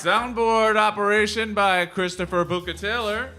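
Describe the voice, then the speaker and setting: A man's voice: high voice, little pitch movement, moderate pace, loud music behind the voice, over a noisy crowd. A man reading out show credits as a stage announcer, in a live radio drama presentation. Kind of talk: stage announcement over music.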